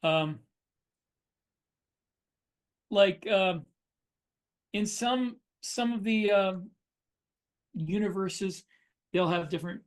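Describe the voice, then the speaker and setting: A man co-hosting a podcast, chatting casually and explaining his writing in a matter-of-fact way with examples. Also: high-pitched. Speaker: podcast co-host, science fiction author. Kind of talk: casual chat about writing craft.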